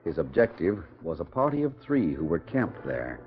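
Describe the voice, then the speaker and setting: A man's voice: low voice, sing-song, normal speed, soft background music. An American man narrating a 1940s radio western in a grand, theatrical scripted style. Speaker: announcer and narrator. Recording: muffled vintage 1940s radio recording.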